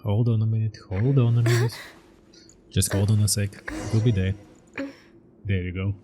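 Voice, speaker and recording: A man's voice: on the low side, fairly even intonation, normal speed, noisy background. A man, a gaming channel host, gives laid-back let's-play commentary with short reactions while losing his voice. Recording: commentary over loud game music.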